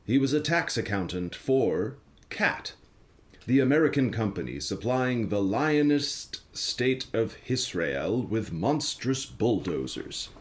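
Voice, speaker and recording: A man's voice: mid-range voice, very animated, slow pace. A man narrating a comic radio play as a dramatic storyteller, reading from a script.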